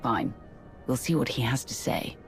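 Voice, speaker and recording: A woman's voice: a bass voice, expressive, normal speed, faint music behind. An actress playing a curt, skeptical female Sith warrior in a video game, dry and impatient.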